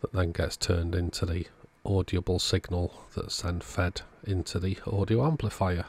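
A man calmly narrating a radio repair at his workbench in a matter-of-fact way. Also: low voice, normal speed, slight background noise. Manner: relaxed, matter-of-fact commentary while working. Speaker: electronics repair channel host. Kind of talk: narrated bench repair tutorial. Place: workbench indoors.

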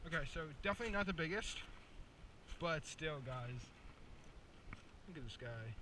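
A man's voice: a little high, normal speed. Young male fishing vlogger, jokey and chatty, action cam outdoors, audible wind noise. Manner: chatty, jokey, bursts into loud excited cheers. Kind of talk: casual outdoor fishing commentary. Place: outdoors at a pond. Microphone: head-mounted action camera. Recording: distant mic with outdoor noise.

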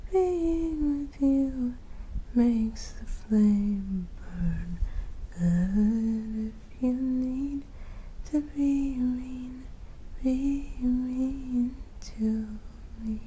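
A woman sings in a soft, breathy, sleepy ASMR style, gentle and often close to a whisper. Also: sits high, drawn-out words, pauses often, a little hiss or hum, rough recording. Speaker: ASMR performer.

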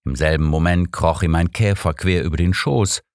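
Low male audiobook narrator, measured storytelling, really dry recording. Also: no room sound. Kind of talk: scripted first-person mystery narration.

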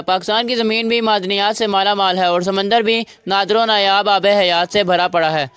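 A young man from Pakistan with a high, smooth and resonant voice. He narrates a vlog in a steady, formal way, recorded close and dry with no room sound. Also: dry recording. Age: young adult. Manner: formal, reads facts in steady Urdu. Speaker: vlog host.

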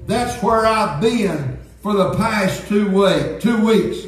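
An elderly male pastor preaches earnestly at an unhurried pace. His voice is high for a man. It rings with heavy echo in a church sanctuary. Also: lively intonation. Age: 70s. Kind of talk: Pentecostal sermon, preaching and reading aloud. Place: church sanctuary, indoors at a pulpit. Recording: very echoey church sanctuary, distant sound.

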